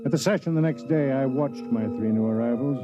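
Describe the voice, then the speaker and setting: A man acting as an older, wry space station official and narrator in a 1950s radio drama, measured and reflective. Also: mid-range voice, close to an everyday voice. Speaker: narrator and lead actor. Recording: muffled vintage radio recording with music.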